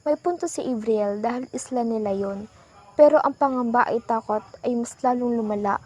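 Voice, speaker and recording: A woman's voice: a little high, moderate pace, darkish tone, close to an everyday voice. A young woman playing the narrator who recounts a frightening island trip in a scripted radio drama. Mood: uneasy storytelling. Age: young adult.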